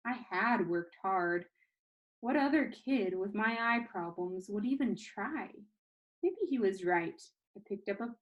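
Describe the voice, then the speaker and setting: A woman reads a children's novel aloud in an expressive first-person narration, now and then stumbling slightly and correcting herself. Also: mid-range voice, dull audio.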